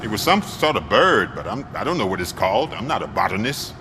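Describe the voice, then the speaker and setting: Wisecracking game mechanic: lowish but bright voice, casual and talkative, faint noise behind. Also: male voice, very animated, fairly quick, heavily acted. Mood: chatty, wry. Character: chatty, wisecracking male car mechanic. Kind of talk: video game voice acting.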